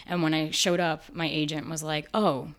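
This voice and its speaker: A woman with a raspy, fairly deep voice, talking candidly and chattily as an actor guest in an interview, with many fillers. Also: little pitch movement, moderate pace. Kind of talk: casual interview chat.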